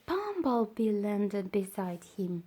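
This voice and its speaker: A woman reading a children's story aloud as storyteller, with deliberate, dramatised phrasing. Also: medium pitch, big pitch swings, warm tone, husky.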